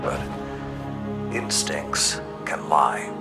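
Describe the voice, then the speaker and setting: A man playing a grim, stern old warlord veteran in a video game cutscene, with a gravelly, measured voice. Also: extremely low pitch, animated, exaggerated voice. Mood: stern, distrustful. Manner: measured, weighty, stern delivery. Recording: loud music and effects underneath.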